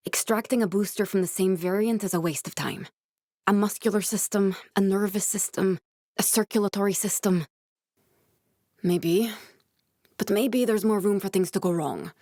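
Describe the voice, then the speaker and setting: A actress playing a woman scientist in a video game, guarded and earnest. Her delivery is measured and clinical, with a dry edge. Her medium-pitched voice sits in a very dry, close recording with no room sound. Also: darkish tone, no echo, lightly acted. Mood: serious, measured. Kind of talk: video game character voice acting.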